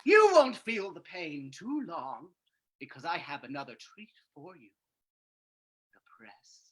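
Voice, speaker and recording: A woman's voice: fairly low pitch, very animated. A woman playing a vengeful, murderous male villain in a theatrical, menacing old-time radio drama reading. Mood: gloating menace. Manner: theatrical, menacing, drawn-out delivery.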